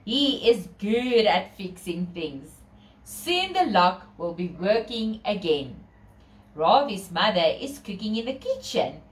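A woman's voice: mid-range voice, very animated, slow. A middle-aged South African woman reading a picture book aloud to children, with theatrical, sing-song emphasis and chatty asides. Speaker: children's storyteller. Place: small bedroom, indoors. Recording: roomy echo from camera distance.

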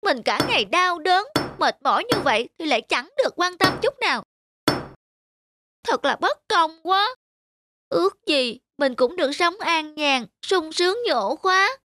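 High-pitched, weary, complaining cartoon key. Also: female voice, animated, background noise. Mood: self-pitying. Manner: plaintive, sighing, drawn-out. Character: weary, self-pitying house key, envious and complaining. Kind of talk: animated fable dialogue. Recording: loud music under the voice.

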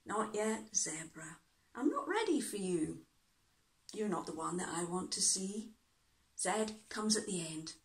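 A woman's voice: on the low side, very animated, measured pace. A woman reading a picture book as a bossy, exasperated little girl, firm and emphatic in her scolding. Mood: impatient. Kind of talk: picture-book read-aloud.

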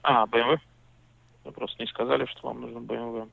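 A man's voice: mid-range voice, little pitch movement, fast talker, vocal fry, no room sound. A man working as a used-car salesman, answering in a patient, businesslike way that turns mildly argumentative. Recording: tinny phone line.

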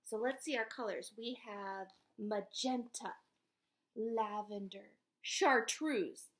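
A woman in her thirties or forties reading a picture book aloud to young children, sing-song and expressive, often asking questions. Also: fairly high pitch, takes it slowly. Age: 30s or 40s. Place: small indoor room at home.

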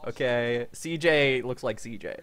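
A man's voice: medium pitch, a little slow, a bit bright. A man streaming a video game and chatting casually with friends. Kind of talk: casual gaming chat.

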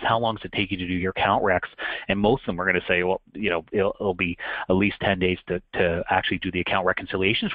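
A man's voice: deep voice, dry recording. A Canadian man in his thirties presenting a business webinar in a conversational way, with frequent fillers and restarts. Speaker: channel account manager presenting a webinar. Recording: dry, muffled web call audio.

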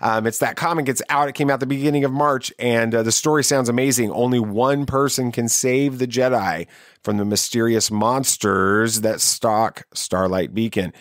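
A man's voice: medium pitch, steady tone, moderate pace, dry recording, clean studio sound. A man hosting a podcast, talking to listeners in an interview and sign-off style. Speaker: podcast host.

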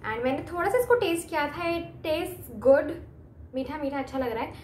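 A woman's voice: high voice, moderate pace, a little mellow. A woman in her twenties with an Indian accent, chatting casually through a home cook-along vlog, switching between Hindi and English. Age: 20s. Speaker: home cooking vlogger. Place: indoors at home, small curtained room. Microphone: phone camera a few feet away. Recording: echoey room, background noise, phone camera.

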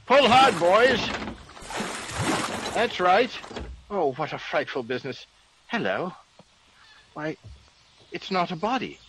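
Sceptical English gentleman, genteel, excitable, fairly high voice, very animated intonation, vintage radio drama. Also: slow, long pauses, exaggerated voice. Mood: flustered. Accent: British. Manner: genteel, blustery, exclamatory. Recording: noisy vintage radio recording.

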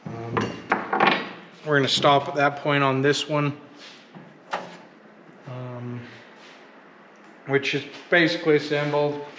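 A man hosting a casual workshop build video, talking loosely with lots of ums while he works. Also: mid-range voice. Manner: relaxed, rambling, many ums while working. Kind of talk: casual hands-on how-to talk. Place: garage workshop. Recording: echoey shop with loud background noise.

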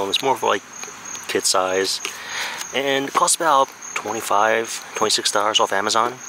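An American man in his thirties giving a casual, informal hands-on product review outdoors, with a plain, slightly hesitant way of talking. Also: mid-range voice. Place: backyard deck, outdoors. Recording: outdoor noise with insects and traffic.

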